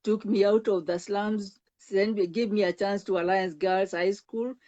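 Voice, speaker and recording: An older Kenyan woman speaks as a guest counselor, earnest and emphatic, stumbling and repeating words as she presses her point. Her voice sits at a middle pitch. The sound is dull and lo-fi. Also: fairly even intonation, measured pace, mellow. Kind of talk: earnest personal testimony.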